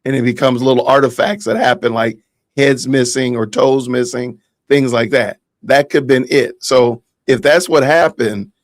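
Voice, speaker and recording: A man's voice: medium pitch, steady tone, normal speed, dry recording. Dry-sounding male livestream podcast host. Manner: thanks and addresses viewers directly. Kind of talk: casual livestream chat, reading viewer comments.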